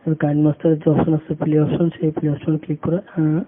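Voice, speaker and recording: High-voiced man, thin phone-like sound. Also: monotone. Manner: halting, repeats phrases as he works. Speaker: tutorial instructor. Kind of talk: unscripted step-by-step screen tutorial narration. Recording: muffled phone-like audio with background noise.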